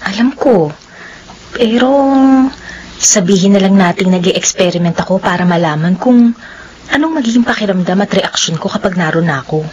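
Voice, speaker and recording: A woman's voice: medium pitch, expressive, normal speed, pauses often, darkish tone, dull audio, a little hiss or hum, close to an everyday voice. An actress playing a demure, devout young woman, guarded but candid, in a Filipino radio drama. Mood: earnest, candid.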